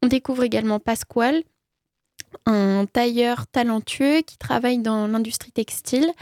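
A woman presenting a film summary from prepared notes, often pausing on hesitant fillers between sentences. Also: a little high, fairly even intonation, a little mellow, no room sound, clean studio sound.

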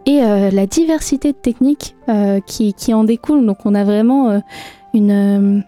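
Hesitant young French woman, mellow voice. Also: medium pitch, faint music behind. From France. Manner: hesitant, many pauses and fillers. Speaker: guest artist. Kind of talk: radio interview answers.

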